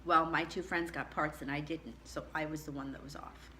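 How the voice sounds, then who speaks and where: Bright-voiced woman telling her own story. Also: steady tone, a little fast, slight background noise. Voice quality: low contralto singing voice. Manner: chatty, candid, laughs often. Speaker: vlogger. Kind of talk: personal storytelling to camera.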